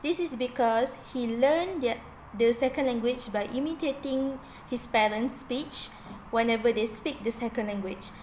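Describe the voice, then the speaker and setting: A young woman reading out a prepared report in a steady read-aloud way as a student presenter. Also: on the high side, normal speed, slight background noise, rough recording.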